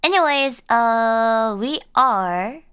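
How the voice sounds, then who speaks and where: Giggly woman streamer with a rough sick voice. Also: on the high side, lively intonation, very slow, a bit bright. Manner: chatty and giggly, laughs often, rambles with fillers. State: slightly ill, voice unusually low and rough. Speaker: live streamer. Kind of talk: casual live-stream chat.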